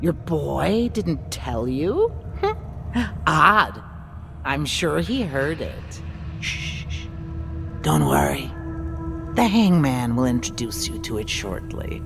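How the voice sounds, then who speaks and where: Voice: medium pitch, very animated, slow, vocal fry, long pauses, over music, heavily acted. An actor voicing a vengeful undead spirit in a video game, sly, taunting and menacing. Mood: mocking menace. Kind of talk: theatrical video game acting.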